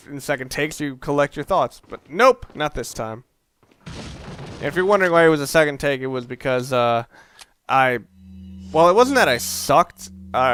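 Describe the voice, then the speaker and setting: A man's voice: mid-range voice, sharp tone, smooth. A young man giving casual, rambling gameplay commentary, swearing often and poking fun at himself. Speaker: let's play commentator.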